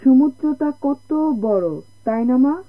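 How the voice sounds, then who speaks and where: High, plaintive young child. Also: female voice, lively intonation, slow pace, heavily acted. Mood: innocent, resolute. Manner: plaintive, innocent questioning. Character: innocent, worried young child of a missing sailor. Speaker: radio drama actor. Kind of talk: Bengali radio drama acting. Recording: tinny old radio, music behind.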